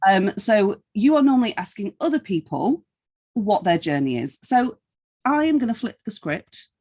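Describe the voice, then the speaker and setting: A woman's voice: medium pitch, very animated, moderate pace, darkish tone. A woman interviewing casually on a podcast, with a playful, jokey manner.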